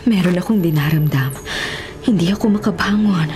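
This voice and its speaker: A woman's voice: moderate pace, natural voice. A woman acting as a nobleman's seductive, scheming wife in a Filipino radio drama, imperious and deceitful in a melodramatic style. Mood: coaxing. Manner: breathy coaxing that flips into shrill melodramatic cries. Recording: loud music bed under voice.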